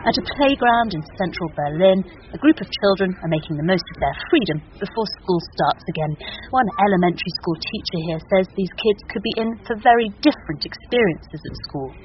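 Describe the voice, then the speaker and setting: A woman reporting for radio news in a measured, even narration. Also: mid-range voice, highs cut off, background noise.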